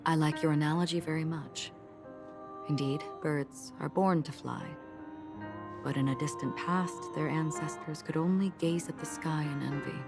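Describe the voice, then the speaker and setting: An actress voicing a calm, melancholy wandering warrior woman in a video game, measured and soft-spoken. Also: low-pitched. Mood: grave, reflective. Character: solemn, melancholy wandering swordswoman, calm and enigmatic. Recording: background music.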